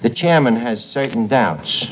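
A man playing a wisecracking, uneducated tavern manager with a slangy, deadpan, sarcastic way of talking, in 1950s radio comedy style. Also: mid-range voice, measured pace. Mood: wry, cajoling. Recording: noisy, muffled old radio broadcast, studio audience.

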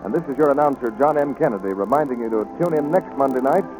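A man reading scripted closing announcements as a formal, clearly enunciated 1940s radio announcer. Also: mid-range voice, lively intonation, fast, darkish tone, soft background music, poor audio.

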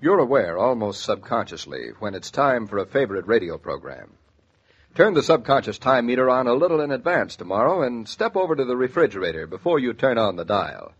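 Husky male vintage radio announcer. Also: mid-range voice, very animated, a little fast. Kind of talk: scripted 1940s radio commercial. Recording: dull vintage 1940s radio recording.

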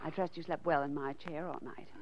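A woman's voice: low voice, steady tone, brisk, natural voice. A woman playing a wisecracking, playfully exasperated American secretary in a 1940s radio drama, saucy and teasing. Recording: muffled, noisy 1940s radio recording.

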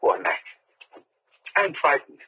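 A man's voice: slow pace, natural voice. An actor playing a frightened young condemned man in an old radio drama, faltering and desperate. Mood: terrified. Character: terrified young condemned man awaiting execution. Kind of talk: 1930s radio drama acting. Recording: muffled vintage radio recording.

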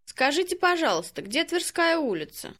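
A woman with a high voice reads model phrases for learners. She says each line slowly and deliberately, clearly pronouncing every word. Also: big pitch swings, a bit bright. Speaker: native speaker in language drills. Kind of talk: slow, careful model phrases.

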